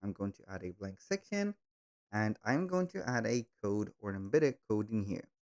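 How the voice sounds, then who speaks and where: A man hosting a step-by-step software tutorial, speaking in halting, repetitive phrases with slightly non-native grammar. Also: fairly low pitch, lively intonation. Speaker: tutorial host.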